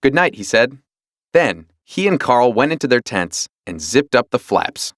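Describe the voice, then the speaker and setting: Male narrator, children's read-along, expressive storytelling, very lively intonation, dry close sound. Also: mid-range voice, a little slow, dry recording.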